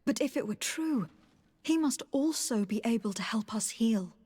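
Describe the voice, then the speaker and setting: A woman's voice: on the high side, lively intonation, moderate pace, a little mellow, slightly rough. An actress playing a loyal, composed noblewoman diplomat in a video game, speaking formally and sincerely. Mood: earnest. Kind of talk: dramatic video game acting. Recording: long hall-like reverb.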